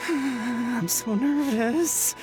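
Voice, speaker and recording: A woman playing an excitable young warrior in a video game, starstruck and effusive, sheepish yet bold. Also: a little high, extremely slow. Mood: nervous, flustered. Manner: stammering, effusive. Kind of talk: video game character acting. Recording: game music behind the voice.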